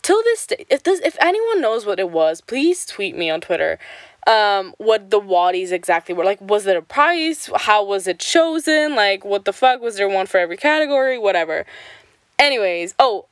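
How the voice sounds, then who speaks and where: Young woman rambling on her podcast, stuffy nose, bit of a lisp, smooth voice, dry close mic, swears a lot. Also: medium pitch, very animated, moderate pace, no room sound. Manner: slight lisp from swollen tongue; rambling, profane, lots of "like" and "um". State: stuffy, sick with swollen tongue. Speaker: podcast host. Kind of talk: casual solo podcast chat. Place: home at night.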